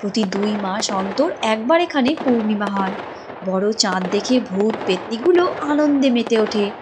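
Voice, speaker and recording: A woman's voice: mid-range voice, lively intonation, normal speed, background noise. A woman reading scripted storybook narration for a cartoon as its narrator. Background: music.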